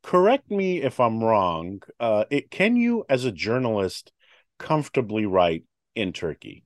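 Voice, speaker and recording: A lower-pitched man hosts a public affairs talk show, his voice swinging up and down a lot as he chats. He talks loosely, with fillers and restarts. The sound is close and dry, with no room ringing around him. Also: big pitch swings, a little slow, no echo. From United States. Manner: conversational, many fillers and restarts. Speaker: host.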